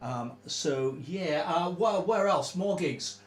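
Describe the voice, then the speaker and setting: A man chats loosely through an informal livestream, trailing off and filling gaps with ums. His voice sits a little high for a man. The sound bounces around a roomy space. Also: slow. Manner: relaxed, chatty, rambling with many fillers. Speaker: band member hosting a livestream.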